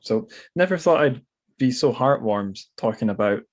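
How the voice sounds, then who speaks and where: A man's voice: mid-range voice, animated, moderate pace, darkish tone. A man hosting a podcast in casual, informal chat, musing and correcting himself as he goes. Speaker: podcast host.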